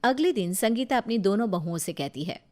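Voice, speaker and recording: A woman's voice: medium pitch, expressive, fairly quick, warm tone. A woman narrating a scripted moral story as its storyteller.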